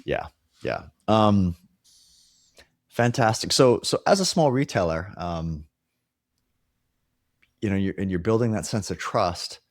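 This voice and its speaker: Deep-voiced male podcast host, dry sound. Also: expressive, slow pace, long pauses, dry recording. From Hawaii. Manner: rambling, many fillers and restarts. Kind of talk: casual interview.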